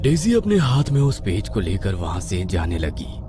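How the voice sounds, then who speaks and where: A man's voice: sits low, wildly swooping pitch, normal speed, warm tone, soft background music. A man narrating a scripted horror story in a suspenseful, dramatic manner.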